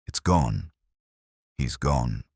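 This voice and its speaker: A man narrating a story as a distraught, haunted woman, speaking in a hushed, mournful way. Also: very deep voice, lively intonation, drawn-out words, gravelly, husky, no room sound, clean studio sound, voice pushed down, full character voice. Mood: haunted, grieving.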